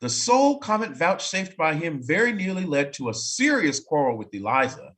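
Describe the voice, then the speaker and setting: Male narrator for a staged reading: slightly high voice, very animated intonation, expressive storytelling broken by dry conversational asides. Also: normal speed. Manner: expressive, wry, breaking off to comment. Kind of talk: dramatic reading aloud with casual asides.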